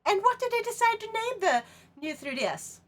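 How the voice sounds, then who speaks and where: A woman with a Swedish accent, streaming and chatting casually with her live chat in a rambling, animated way, laughing often. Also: very high voice, fairly quick, a little hiss or hum. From Sweden.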